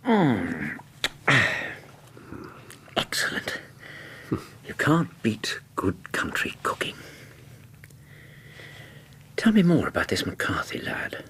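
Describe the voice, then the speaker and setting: Courteous English physician for radio play: low, very expressive intonation, reassuring bedside manner, tactful questions. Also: male voice, drawn-out words, long pauses, whispered, heavily acted. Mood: bemused. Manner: courteous, reassuring, gently probing. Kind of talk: radio drama acting.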